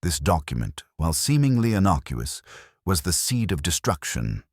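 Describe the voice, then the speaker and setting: A man acts out a brilliant, exacting detective for an audiobook mystery. He is ponderous and formal, with a very deep, rough-edged voice that moves expressively as he pronounces his conclusions. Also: sing-song, normal speed, dry recording, studio quality, exaggerated voice. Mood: grave, certain. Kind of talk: audiobook character dialogue.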